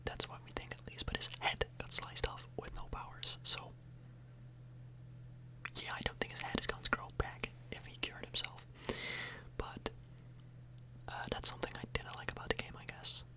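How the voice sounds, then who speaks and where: A woman speaking in a breathy whisper, rambling casually for an ASMR video, close to the microphone and often tagging on questions. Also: normal speed, low-quality recording. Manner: casual, meandering, frequent tag questions.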